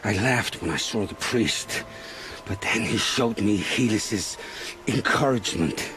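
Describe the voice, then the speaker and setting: An actor playing a frightened, world-weary scrounger turned informant in a video game drama, speaking in halting, grim, folksy storytelling phrases. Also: male voice, fairly low pitch, lively intonation. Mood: weary, regretful.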